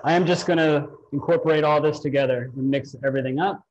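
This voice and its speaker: A man's voice: on the high side, fairly even intonation, quick pace, a little hiss or hum. A man in his thirties leading a live cooking demonstration in a large kitchen, explaining each step to viewers from a distant camera. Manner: instructive, walks viewers through steps. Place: large home-style kitchen, indoors. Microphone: far camera. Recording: dull lo-fi livestream audio.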